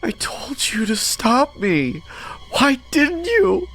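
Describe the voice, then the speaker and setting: A man's voice: medium pitch, normal speed, faint music behind, lightly acted. A man voicing an unhinged serial killer, pleading and taunting in a storytelling narration. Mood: sobbing, desperate. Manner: sobbing, pleading, then taunting. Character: unhinged male serial killer, sobbing then menacing.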